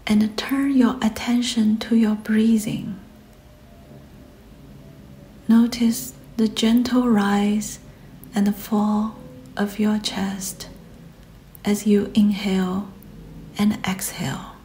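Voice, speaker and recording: A woman leading a scripted guided meditation in a soft, breathy, often near-whispered voice, gentle and soothing, with pauses between short phrases. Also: mid-range voice, level tone, slight background noise.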